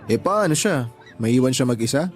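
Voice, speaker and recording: Actor as a supportive, mid-pitched young boyfriend. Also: male voice, animated, normal speed, soft background music, a faint crowd, close to an everyday voice. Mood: sympathetic, reassuring. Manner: reassuring, conversational. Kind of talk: Tagalog radio drama dialogue.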